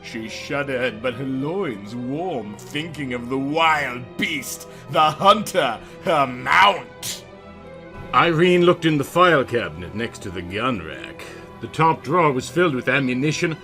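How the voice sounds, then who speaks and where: A man's voice: mid-range voice, lively intonation, measured pace, sharp tone, faint music behind. A man narrating a story in a theatrical, comic reading style, with dramatic, emphatic phrasing.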